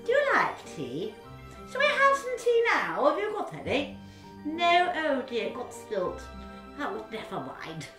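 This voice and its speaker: Lady doing a doddery sweet old granny, slow and rambly, high wobbly-pitched kids theatre voice, echoey room. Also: wildly swooping pitch, bright, forceful tone, recorded from a distance, exaggerated voice. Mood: chatty. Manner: hesitant, slow, rambling, oh-dear exclamations. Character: doddery, chatty, kindly old granny from fairy tale. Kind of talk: interactive children's storytelling theatre. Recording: echoey room with background music.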